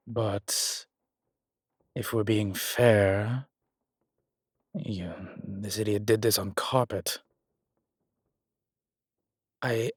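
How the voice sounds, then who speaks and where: A man playing a strong, scholarly demon in a horror roleplay audio, explaining things in a deliberate, halting, pedantic way. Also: on the low side, dry recording, clean studio sound. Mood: exasperated, puzzled. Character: strong, scholarly male demon, exasperated occult lecturer. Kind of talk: immersive one-sided roleplay audio drama.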